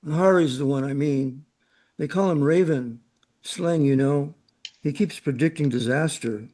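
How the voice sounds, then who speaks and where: A man's voice: a little high, unhurried, dark tone, lightly acted. A man acting in a radio drama as a chatty, knowing, worldly man with a breezy, opinionated way of talking. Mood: probing, sly.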